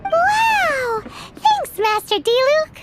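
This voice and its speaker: Squeaky little fairy girl, very high. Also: sing-song, extremely slow, heavy background noise, exaggerated voice. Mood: excited, curious. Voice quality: squeaky, childlike. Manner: exclamatory, refers to herself in third person. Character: tiny excitable floating fairy girl companion. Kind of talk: video game voice acting. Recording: loud game music behind.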